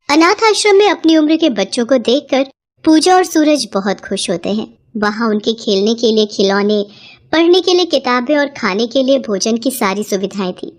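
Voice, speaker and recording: A woman narrating a scripted children's moral story as the storyteller. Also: on the high side.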